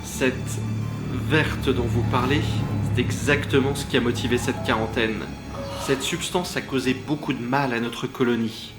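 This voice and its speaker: A man playing a calm, courteous hospital doctor in an audio drama, measured and a little hesitant. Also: medium pitch, natural voice. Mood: grave, polite. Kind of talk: French audio drama acting. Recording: roomy echo, music behind.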